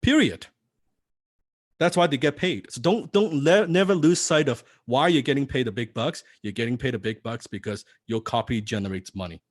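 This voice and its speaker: A man's voice: mid-range voice, lively intonation, normal speed, dry recording, studio quality. A man teaching copywriting in a live seminar, non-native in English, firing rhetorical questions at the audience. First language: not English.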